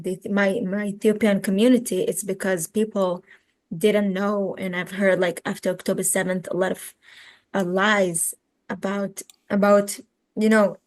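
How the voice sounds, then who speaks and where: A woman's voice: medium pitch, flat delivery, darkish tone. A woman in her twenties from Israel with an Israeli accent, answering interview questions conversationally and often searching for words. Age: 20s. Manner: halting, searching for words in a second language. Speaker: interview guest, educator and social media activist.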